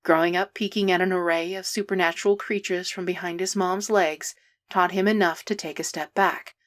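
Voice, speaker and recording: A woman's voice: fairly low pitch, fairly even intonation, moderate pace. Woman reading fan fiction aloud. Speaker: podfic reader.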